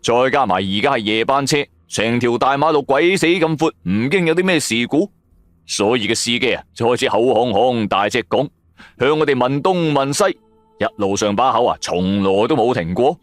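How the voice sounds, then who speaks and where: A man narrates a supernatural radio serial in colloquial Cantonese. He tells it dramatically in the first person, his mid-pitched voice rising and falling widely. The sound is dry and close, with music underneath. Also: animated, moderate pace, dry recording. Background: background music.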